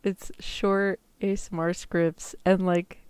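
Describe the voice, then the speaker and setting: A woman chatting casually as a livestreamer, with a creaky voice and a loose, filler-heavy way of talking, close on the microphone. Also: lowish voice, little pitch movement, takes it slowly, darkish tone, no room sound. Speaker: VTuber streamer practicing ASMR roleplay. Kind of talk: casual livestream chat, roleplay script practice.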